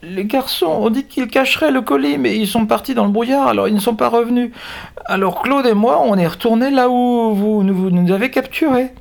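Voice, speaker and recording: A man's voice: high-pitched, lively intonation, fairly quick, voice pushed up. A man voicing a frightened, innocent young girl held captive in a children's adventure story. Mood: terrified, pleading.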